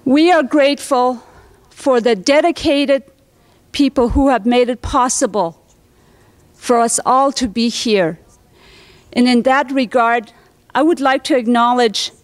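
A woman in her thirties hosting a conference, speaking formally and deliberately into a podium microphone in a large hall. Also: fairly high pitch. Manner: deliberate, ceremonial. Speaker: conference host. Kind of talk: formal welcome speech.

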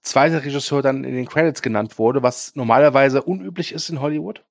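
A man's voice: mid-range voice, a little fast, creaky, no room sound, clean studio sound. A man co-hosting a casual film news podcast, talking with frequent hesitations and filler sounds. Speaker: podcast co-host.

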